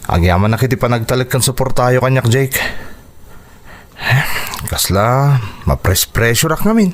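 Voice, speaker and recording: A man plays a boxer in a radio drama, earnest and determined with some worry underneath. His pitch is average for a man. He delivers his lines in a measured, dramatic way. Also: animated, natural voice. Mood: worried. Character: earnest male boxer, determined yet anxious before a fight. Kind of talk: radio drama dialogue in Ilocano.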